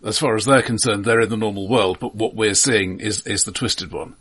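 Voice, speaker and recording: Husky, low-voiced man discussing film. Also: a bit bright. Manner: measured, analytical, hesitant. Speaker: film podcast host.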